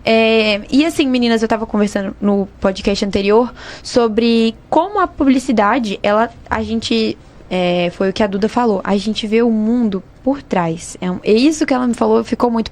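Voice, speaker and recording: A young Brazilian woman hosting a casual podcast interview in a chatty, informal, expressive way. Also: a little high, moderate pace. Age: young adult. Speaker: student podcast host.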